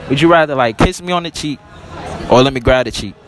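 A man's voice: mid-range voice, fast. A young man in a mall, holding a phone like a mic and questioning strangers in playful, slangy banter. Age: young adult. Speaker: host asking strangers questions. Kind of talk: casual street interview. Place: indoor shopping mall. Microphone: phone held to the mouth.

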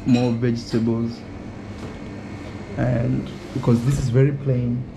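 A man's voice: medium pitch, flat delivery, takes it slowly, gravelly, noisy background. A young man chatting casually as a vlogger in a small restaurant, playful and laughing often, filmed on a close action camera. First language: not French. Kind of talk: casual vlog chat.

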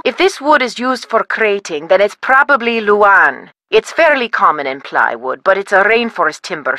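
A woman's voice: mid-range voice, sharp tone, no echo. A woman voicing a polite, patient wood expert on a phone helpline in a video game, explaining step by step.

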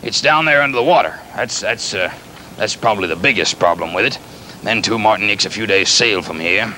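A man playing a kindly, folksy pirate captain in a children's show, halting and stammering as he rambles and repeats himself. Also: on the low side, sharp tone, a little hiss or hum, low-quality recording. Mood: musing. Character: folksy, flustered, kindly pirate captain fond of his clumsy pet.

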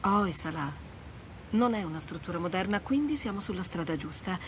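A woman's voice: fairly low pitch, lively intonation, moderate pace, slight background noise, close to an everyday voice. A woman playing a wry, confident, teasing treasure hunter in an Italian video game dub. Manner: wry, self-assured, teasing. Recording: background music under dialogue.

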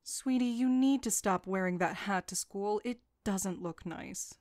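A woman's voice: mid-range voice, a little slow, a little mellow, lightly acted. A woman playing a nagging, disapproving mother of a teenage boy in an audiobook drama. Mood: stern disapproval.

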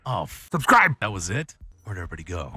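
A man with a very deep, booming voice chatting casually as a game streamer, with slangy, sweary, playfully showy banter. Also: very animated, quick pace, sharp tone, husky. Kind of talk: casual gaming banter and commentary. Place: indoors at a streaming desk.

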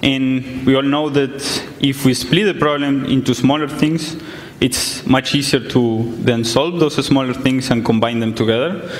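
A man's voice: mid-range voice, fairly even intonation, measured pace, few pauses, a little hiss or hum. A young man from Argentina giving a conference talk in a large hall, explaining plainly with many fillers. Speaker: conference speaker. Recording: echoey hall, some distance from mic.

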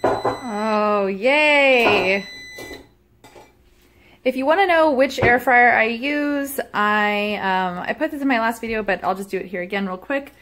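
A woman in her thirties chatting casually as she shows a recipe in her small home kitchen, self-deprecating and quick to laugh, recorded on a phone camera held close. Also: fairly high pitch, fairly bright tone, a little hiss or hum. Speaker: cooking vlogger. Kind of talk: casual cooking vlog chat.

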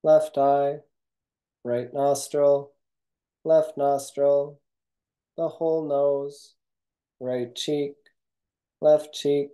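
Slow, very mellow meditation guide. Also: mid-range voice. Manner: calm, measured, instructional. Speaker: yoga nidra instructor. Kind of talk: guided meditation, reading a script.

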